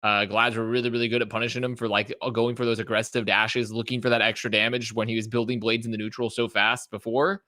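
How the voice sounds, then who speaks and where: A man's voice: on the low side, little pitch movement, quick pace, few pauses, sharp tone, dry recording, studio quality. A man giving casual match analysis as an esports podcast co-host.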